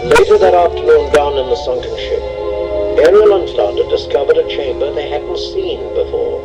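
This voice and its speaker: A man's voice: a little high, animated, normal speed, highs cut off. A man narrating a scripted children's read-along story. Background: prominent music.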